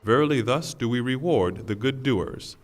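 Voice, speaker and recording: A man reading a scripture translation in a solemn, formal, deliberate way, with pauses. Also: medium pitch, lively intonation. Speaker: translation reader. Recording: long hall reverb.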